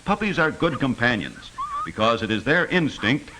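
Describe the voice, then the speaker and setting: Male narrator, 1950s instructional film, lively intonation, earnest, child-friendly storytelling, slightly noisy old soundtrack. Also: medium pitch, normal speed.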